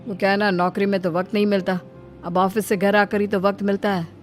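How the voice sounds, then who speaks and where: A woman's voice: medium pitch, little pitch movement, fairly quick, faint music behind, pitched below the performer's own voice, lightly acted. A woman voicing a practical thirty-year-old son in a cartoon family drama, explaining in a casual, mildly exasperated manner.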